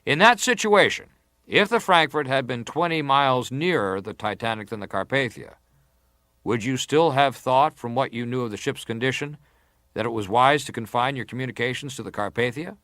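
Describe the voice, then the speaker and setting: An actor playing a formal, persistent senator who questions a witness deliberately in a dramatised hearing. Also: male voice, medium pitch, a bit bright, no echo, studio quality, lightly acted. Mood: insistent, stern. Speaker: interrogator at a hearing. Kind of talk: dramatised reading of hearing testimony.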